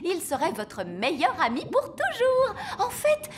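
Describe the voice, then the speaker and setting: A woman voicing a bossy, excitable nanny fairy in a children's cartoon, with tinkling music behind her. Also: extremely high pitch, a little slow, slight background noise, exaggerated voice. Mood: brisk. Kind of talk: animated children's cartoon dubbing.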